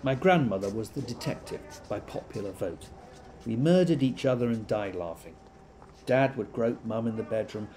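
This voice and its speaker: An actor, a man, playing a wistful storyteller fondly recalling childhood in a measured, intimate audio drama monologue. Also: medium pitch, warm tone, slight background noise, lightly acted.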